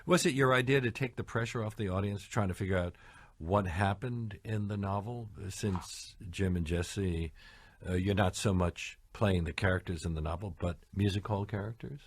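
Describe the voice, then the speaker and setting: A man's voice: deep voice, moderate pace, breathy edge, no room sound. A man hosting a radio interview, asking curious, playful questions. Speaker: radio host.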